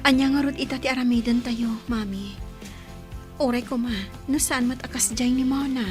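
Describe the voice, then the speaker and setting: A woman plays a dutiful young adult daughter in a radio drama, caring in manner. Her voice is fairly high, with an Ilocano accent and faint music underneath. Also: steady tone, normal speed, close to an everyday voice. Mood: concerned.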